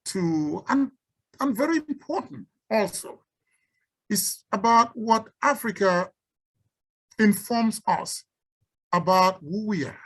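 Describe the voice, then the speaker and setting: A woman's voice: medium pitch, expressive, takes it slowly, pauses often, rough recording. A woman from Cameroon with a Cameroonian accent, giving an unscripted symposium talk and taking questions, often stumbling and repeating words. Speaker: symposium speaker and researcher.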